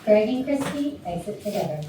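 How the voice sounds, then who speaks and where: A woman's voice: medium pitch, expressive, fairly quick. A woman reading scripted stage directions as narrator of a staged play. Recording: very echoey rehearsal room, distant, faint noise.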